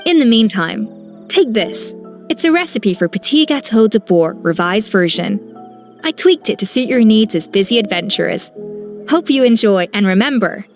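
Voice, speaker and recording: A woman voicing a composed grown-up master chef in a video game, calm and gently instructive like a mentor. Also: mid-range voice, normal speed, close to an everyday voice. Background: soft game music.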